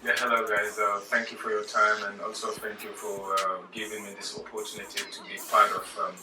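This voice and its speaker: A man from Senegal, a fitness coach and bodybuilder, answering interview questions casually, with many fillers and trailing off quietly. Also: fairly low pitch, monotone, a little fast. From Senegal, West Africa. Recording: roomy and distant with music behind.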